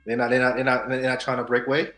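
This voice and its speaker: A man co-hosting a casual news and culture show, animated, swinging between loud hype and soft asides. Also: medium pitch, rapid-fire. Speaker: podcast co-host. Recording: echoey room with faint music.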